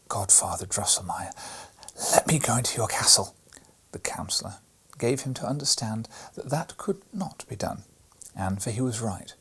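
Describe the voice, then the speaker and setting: A man reading a classic children's story aloud as a bedtime audiobook, narrating softly and often in a breathy, whispered way. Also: fairly low pitch.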